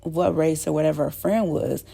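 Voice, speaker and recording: A woman's voice: low voice, normal speed, gravelly, dark tone, slightly rough. A woman host talking casually to her viewers, rambling with many fillers and restarts. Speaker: channel host. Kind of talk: casual talk to camera.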